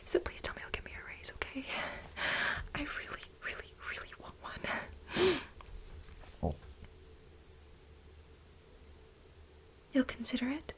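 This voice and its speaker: A woman playing a fawning, gossipy office worker in a soft-spoken ASMR role play, breathy and hesitant. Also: high-pitched, huge pitch swings, full character voice. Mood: pleading. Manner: hesitant, halting, nervous giggles, ingratiating. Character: fawning, gossipy female office employee sweet on her boss.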